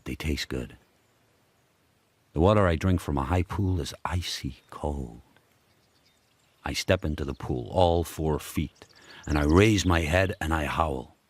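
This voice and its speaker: A very low, unhurried voice, close and dry, belongs to a powerful dog going feral. He narrates a radio drama in short, primal, deliberate phrases, solitary and wild. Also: no room sound, full character voice. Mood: grim, primal. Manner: terse, measured, deliberate. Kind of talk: first-person radio drama narration in short present-tense sentences.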